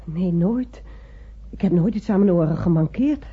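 A woman's voice: on the low side, lively intonation, normal speed, husky. An actress playing an anxious, insistent female patient in a radio drama, speaking earnestly. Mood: earnest, distressed. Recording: muffled old radio recording.

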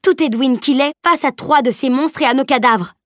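Fierce, indignant warrior woman, raised high voice, read by a female narrator. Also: unhurried, no echo, clean studio sound. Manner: indignant, sharp, protective.

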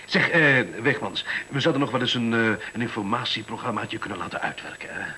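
A man's voice: mid-range voice, lively intonation, a little fast, pushed and bright. An actor in a radio play voicing a smug, condescending male school director who talks glibly about efficiency and interrupts himself. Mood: patronising, breezy. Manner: condescending, glib, self-interrupting. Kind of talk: radio drama acting, conversational monologue. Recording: hissy, noisy old radio recording.

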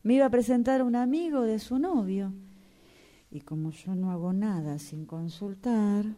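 A woman's voice: mid-range voice, normal speed, dark tone, lightly acted. A woman acting in a radio drama as a desperate, self-pitying client with a Rioplatense Argentine accent, telling her woes dramatically. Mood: aggrieved, wistful. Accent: Rioplatense Argentine Spanish. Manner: dramatic, complaining storytelling.

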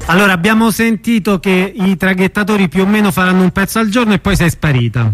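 A man's voice: sits high, fast talker, no echo. A man hosting an informal radio interview, feeling his way through the phrasing of his questions.

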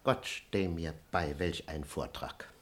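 An actor playing a timid, moralizing servant in a classical radio comedy, deferential, fussy and theatrical. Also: male voice, a bass voice, slow. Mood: flustered. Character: timid, moralizing, long-suffering male servant.

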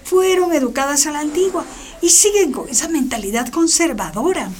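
A woman's voice: high voice, animated, normal speed. A woman playing a cheerful, frank schoolteacher who encourages her class in a radio drama. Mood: encouraging. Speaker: teacher.

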